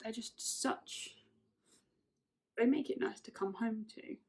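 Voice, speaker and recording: A woman's voice: a little high, slow, vocal fry, darkish tone. A woman in her twenties, chatting casually and rambling through get-to-know-me questions, often correcting herself. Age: 20s. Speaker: vlogger.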